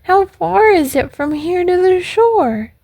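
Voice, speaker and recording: A woman's voice: very high-pitched, animated, unhurried, voice pushed up, full character voice. A woman voicing an emotional wooden puppet boy from a storybook, plaintive and full of exclamations and questions. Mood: anxious, eager. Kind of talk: storybook character voice.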